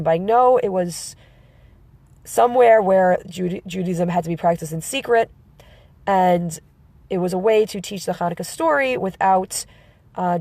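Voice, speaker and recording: A woman with a slight cold talks to her live-stream viewers in a somewhat low voice. She explains at an easy pace, halting and self-correcting as she goes, and the sound is close and dry with no room around it. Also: vocal fry, a little mellow, dry recording.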